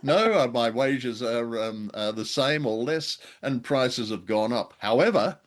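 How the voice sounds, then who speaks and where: A man's voice: mid-range voice, measured pace. A man co-hosting a radio show and podcast, chatting in a casual, conversational style.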